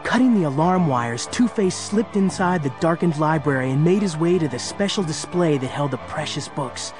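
A man acts as narrator of a superhero adventure. His voice sits a little high, and he tells the action dramatically over a clearly audible musical score. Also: lively intonation, normal speed, music in the background, lightly acted. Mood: dramatic.

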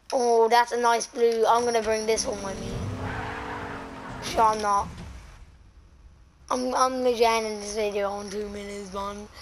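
Hoarse, worn-out young woman gaming. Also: a little high, slight background noise, poor audio. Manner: slangy, sweary, addresses viewers as boys. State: exhausted and hoarse. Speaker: gaming video creator. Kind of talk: casual gameplay commentary.

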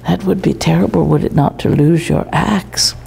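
A woman's voice: low voice, steady tone, normal speed, creaky, dark tone, husky, long reverb tail, full character voice. An older woman playing a genteel grandmotherly eighteenth-century lady, reading a fable aloud to children in a formal, old-fashioned, didactic manner. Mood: genteel, instructive. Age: older, 60s or above. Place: small indoor room, seated.

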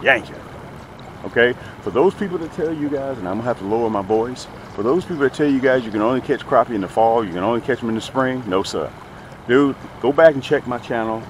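A middle-aged man hosts a casual outdoor vlog. His medium-pitched voice moves up and down a lot as he makes emphatic, folksy points to viewers, often repeating himself. Faint outdoor noise sits behind him on an action camera recording. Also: expressive, fast. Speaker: host of a fishing channel. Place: outdoors on a small boat on a lake. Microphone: action camera mounted near him.

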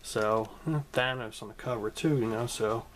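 Man, casual home vlog, rambling, hesitant fillers, mid pitch, faint hiss. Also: normal speed, fairly bright tone. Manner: rambling, hesitant, many ums and restarts. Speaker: comic collector showing his haul. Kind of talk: casual vlog talk to camera. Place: home room in front of a TV.

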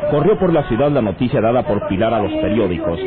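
A man's voice: on the low side, very animated, fast, over a noisy crowd. A man narrating a radio serial in a florid, dramatic, declamatory style. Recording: tinny old radio, loud music behind. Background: loud music.

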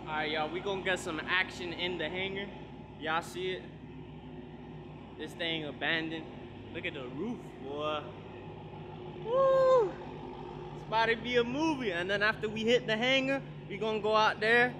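A young man vlogging casually to his viewers in heavy street slang, getting loud and hyped outdoors around cars. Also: high-pitched, normal speed, long pauses, noisy background. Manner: hyped slang-heavy street talk, yelling when excited. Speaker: car vlogger. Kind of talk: casual vlog talk to viewers.

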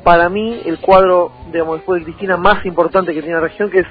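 A man with a Rioplatense Argentine accent talking politics as a radio interview guest, emphatic and repetitive, with halting phrasing. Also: sits high, fairly even intonation. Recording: tinny phone-like sound over music.

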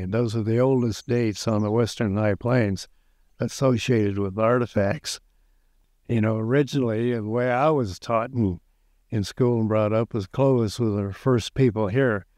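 A man's voice: lowish voice, steady tone, normal speed, a little mellow, dry recording. Older American man, dry close sound. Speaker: archaeologist. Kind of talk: documentary interview. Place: outdoors at a dig site. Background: music.

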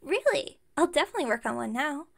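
A woman's voice: sits high, very animated, quick pace, gravelly, a bit bright, exaggerated voice. A woman playing an enthusiastic, scatterbrained young witch shopkeeper in an ASMR roleplay, chatting and rambling to the listener. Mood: excited, delighted. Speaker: voice actor in audio roleplay. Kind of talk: ASMR roleplay, one-sided conversation with listener.

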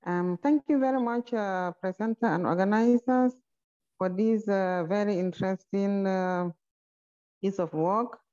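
A woman in the audience asking a formal, courteous question over a video call, in a halting way. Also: mid-range voice, dark tone. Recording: dull video-call audio.

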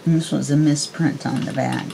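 Woman about sixty, deep gravelly voice, casual craft tutorial, self-deprecating asides, noticeable background noise. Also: fairly even intonation, normal speed, dark tone. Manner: folksy, self-deprecating, thinking aloud. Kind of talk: casual chatty craft demonstration. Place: indoors at a craft desk.

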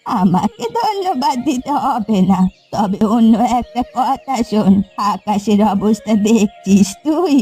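High-pitched bossy old village granny. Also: female voice, animated, measured pace, dark tone, husky, faint music behind. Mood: scolding, kindly. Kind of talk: Bengali cartoon fairy-tale voice acting.

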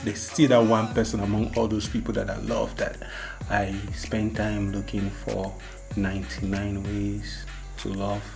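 A man's voice: fairly low pitch, completely flat delivery, unhurried, creaky. A young man co-hosting a casual video chat in a small room, teasing and playful with loose rambling talk. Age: young adult. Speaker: vlog co-host. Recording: music playing behind.

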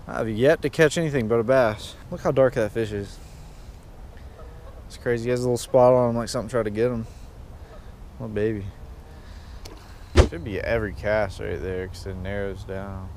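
A man's voice: medium pitch, moderate pace, long pauses, a little mellow. A young man, casually and enthusiastically explaining fishing in a folksy way as a video host. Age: young adult. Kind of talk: casual instructional fishing vlog. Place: outdoors on a pond bank. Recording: outdoor wind and ambient noise.

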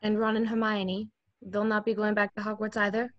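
A woman's voice: medium pitch, flat delivery, moderate pace, dry recording, lo-fi sound, close to an everyday voice. Woman reading a wistful, dry-sounding teenage witch. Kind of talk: table read.

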